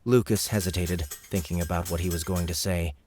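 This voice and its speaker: A man's voice: low voice, steady tone, brisk, darkish tone, slight background noise. A man narrating an audiobook. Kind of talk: scripted narration.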